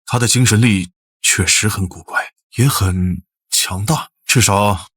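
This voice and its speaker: A man's voice: lowish voice, unhurried, fairly bright tone, husky, dry recording, clean studio sound. A man playing a cocky, blunt war-god warrior, loyal and scornful, in a fantasy drama. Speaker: voice actor in a fantasy short drama.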